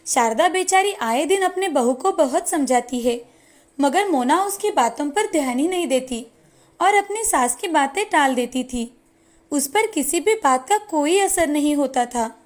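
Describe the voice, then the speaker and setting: Give the narration to a woman with a high-pitched voice. She reads a scripted moral tale as its storyteller.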